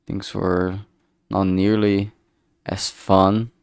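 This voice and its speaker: A man talking casually on a work-along livestream, rambling and halting as he thinks aloud. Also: deep voice, level tone, vocal fry, clear, resonant tone, no room sound, studio quality. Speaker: livestreamer making a visual novel.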